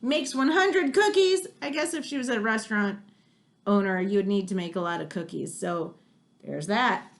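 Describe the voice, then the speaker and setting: A woman's voice: medium pitch, normal speed, vocal fry. A woman reading a nonfiction book aloud for children, chatty and exclamatory, with casual asides.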